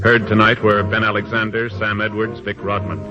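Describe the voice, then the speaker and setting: Casting: solemn American man announcing an old radio show, low voice, muffled vintage sound. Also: little pitch movement, moderate pace. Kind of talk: formal 1950s radio announcing. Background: loud music.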